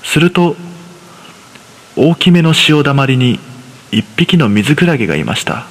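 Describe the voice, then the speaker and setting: A man's voice: mid-range voice, big pitch swings, moderate pace. A man narrating a scripted horror story in the first person. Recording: long reverb on the voice.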